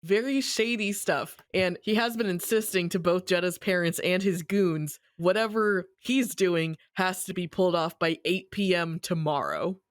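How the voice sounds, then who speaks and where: A podcast host giving a casual, slangy and wry recap of a story in an animated way. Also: medium pitch, normal speed, few pauses, dry recording, clean studio sound.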